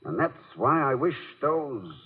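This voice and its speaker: A man's voice: medium pitch, sing-song, a little slow, slightly rough, heavily acted. An actor playing a witty, middle-aged college president and fond husband in a 1950s radio comedy-drama, articulate and dry in his wordy understatement. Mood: reflective. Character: witty, erudite college president, affectionate husband, dryly wry. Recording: muffled old radio recording.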